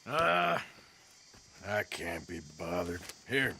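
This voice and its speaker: Blunt drawling outlaw, bright tone, voice swings around, music under it. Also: male voice, sits low, very animated, slow pace, vocal fry, a little hiss or hum, heavily acted. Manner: laconic, drawling, sardonic. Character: gruff weary male outlaw, dry and blunt. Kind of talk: video game cutscene acting.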